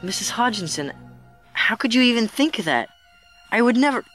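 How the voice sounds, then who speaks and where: Woman playing a bright-voiced, troubled schoolboy. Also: fairly high pitch, sing-song, moderate pace, faint music behind. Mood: troubled, defensive. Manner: sullen, defensive. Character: troubled, defensive, intelligent schoolboy. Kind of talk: audio drama acting.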